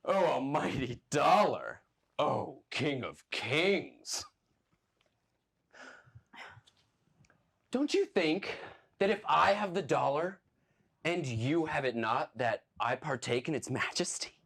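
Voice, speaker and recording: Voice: medium pitch, lively intonation, slow, creaky, a bit bright, slightly rough, full character voice. An actor playing a pompous, power-drunk stage player who lords it over a troupe in grandiose, declaiming style. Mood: gloating, grandiose. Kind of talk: theatrical stage play acting.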